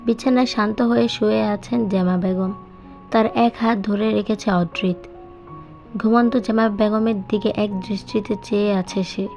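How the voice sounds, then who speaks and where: A woman's voice: mid-range voice, steady tone, normal speed, a little mellow. A woman reading a novel aloud as a scripted, dramatic audio story narration. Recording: dull sound with music behind. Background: music.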